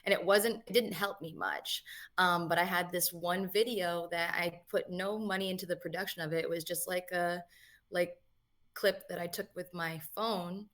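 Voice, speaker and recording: Guest: young woman, lowish voice, relaxed and rambling, video-call sound. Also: moderate pace. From New England, USA. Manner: relaxed, chatty, many fillers. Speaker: guest musician. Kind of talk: casual interview answers.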